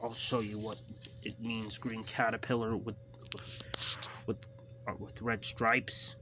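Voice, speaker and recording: A man's voice: fairly low pitch, flat delivery, slow, background noise. A man voicing a rude, stubborn male engine in a homemade story, talking in a sneering, insulting way.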